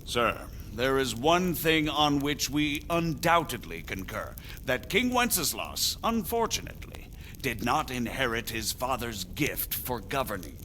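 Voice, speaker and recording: A medieval margrave in a game drama, formal and deliberate. The voice is bright and forceful at a middle pitch, measured as a statesman's, over faint background noise. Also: sing-song, rough recording. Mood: measured, regretful. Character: measured, diplomatic medieval margrave pleading for order. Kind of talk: dramatic video game acting.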